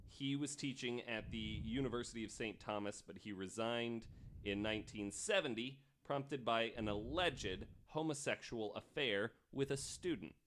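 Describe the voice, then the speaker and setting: A man with a mid-pitched voice hosts a casual podcast, telling a story from notes in informal speech. There is some background noise with small clicks. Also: moderate pace, fairly bright tone. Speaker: podcast host.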